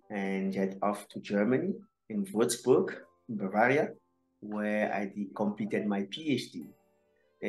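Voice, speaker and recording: Cameroonian guy in his 40s, lowish voice, lots of uhs and restarts, faint music behind. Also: roomy sound, rough recording. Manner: halting, many fillers and restarts. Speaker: guest scientist. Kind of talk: casual interview answers.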